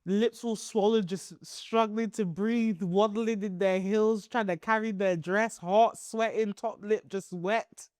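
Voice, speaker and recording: Stuffy-nosed woman, lively podcast chat. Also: mid-range voice, slow pace, few pauses, no echo, clean studio sound. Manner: lively, laughs often, playful retellings. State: stuffy nose from hay fever. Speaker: podcast co-host. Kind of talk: casual chat.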